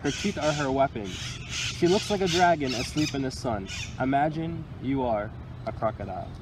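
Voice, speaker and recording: A young man reads a picture book to small children with expressive, deliberate storytelling phrasing, recorded outdoors. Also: mid-range voice. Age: young adult. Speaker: county environmental employee reading to children. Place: outdoors under a tree by water. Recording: outdoor recording with loud ambient noise.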